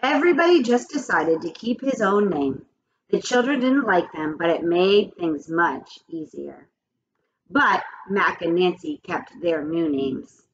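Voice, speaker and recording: A woman in her forties, a teacher, reading a children's chapter book aloud in an expressive, animated way at a webcam in a small home office. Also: fairly low pitch, measured pace. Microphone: webcam at desk. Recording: dull lo-fi webcam audio.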